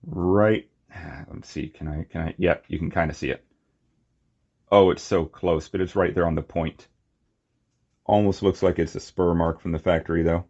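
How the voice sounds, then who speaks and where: A man's voice: low-pitched, steady tone, moderate pace, creaky, long pauses, darkish tone, slightly rough, dull audio. A man giving a casual, unscripted hands-on toy review, rambling and murmuring to himself as he goes. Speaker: toy collector reviewing figures.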